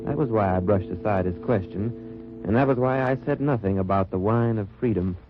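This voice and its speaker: A man's voice: lowish voice, moderate pace, close to an everyday voice. An actor playing an undercover wartime agent posing as a wine merchant, narrating his mission in an old radio drama. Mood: wary, reflective. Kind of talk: 1940s-50s radio drama acting and narration. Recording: dull old radio recording with music.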